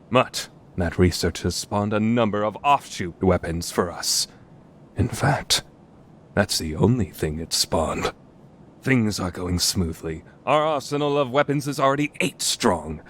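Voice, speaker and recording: A man's voice: on the low side, a little hiss or hum, lightly acted. A man playing a grandiose, self-styled mad scientist in an anime fan dub, with pompous, dramatic delivery.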